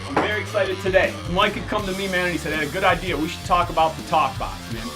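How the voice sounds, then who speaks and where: A man's voice: high voice, quick pace, music in the background. An American man giving an informal gear demonstration as a musician vlogger, with a slangy, laid-back way of talking. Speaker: musician presenting a band's vlog.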